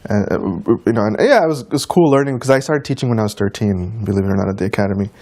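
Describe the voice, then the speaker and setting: A man's voice: fairly low pitch, very fast, dark tone. Man chatting informally in an interview. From United States, of Trinidadian and Guyanese descent. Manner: informal, anecdotal, quoting people in conversation. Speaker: interview guest, tabla player and teacher. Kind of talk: conversational interview answers.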